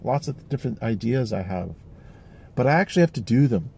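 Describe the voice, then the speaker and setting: A man's voice: mid-range voice, lively intonation, fairly quick, gravelly, warm tone, slightly rough. A man in his forties talking casually and reflectively to camera, rambling with many fillers and restarts. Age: 40s. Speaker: vlogger.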